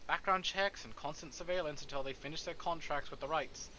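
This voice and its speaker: A man reading a visual novel aloud on a stream, narrating a character's thoughts in an expressive, theatrical way. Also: fairly high pitch, a little fast, rough recording. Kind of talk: dramatic narration of a character's inner monologue. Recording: faint background noise.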